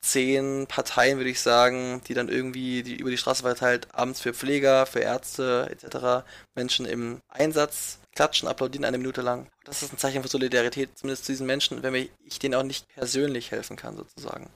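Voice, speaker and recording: An earnest young man from the Munich area shares his views in a reflective conversation. He often searches for words and fills the pauses. His voice has a middling pitch. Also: little pitch movement, vocal fry, fairly bright tone.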